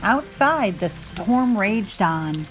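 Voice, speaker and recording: Female storybook narrator, expressive read-aloud for young children, music underneath. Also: mid-range voice, extremely slow.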